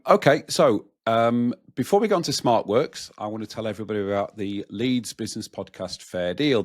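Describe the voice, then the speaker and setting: A man hosting a business podcast, asking questions and delivering a show plug in an upbeat, emphatic way. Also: lowish voice. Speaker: podcast host. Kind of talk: podcast interview and sponsor-style plug.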